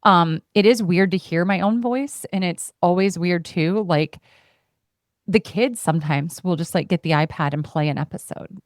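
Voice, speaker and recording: Passionate, expressive woman podcast host. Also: fairly low pitch, moderate pace, dry recording, clean studio sound. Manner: passionate and expressive, heart on sleeve; playful, puts on voices when quoting her family. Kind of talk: casual conversation.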